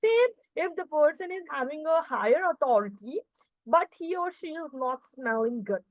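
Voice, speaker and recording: High-pitched Indian woman teaching, phone-like sound. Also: lively intonation, moderate pace, no echo. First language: Urdu. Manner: didactic, frequent check questions to learners. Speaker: communication skills teacher. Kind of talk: live online class lecture. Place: online video call session. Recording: muffled, narrow-band video call audio.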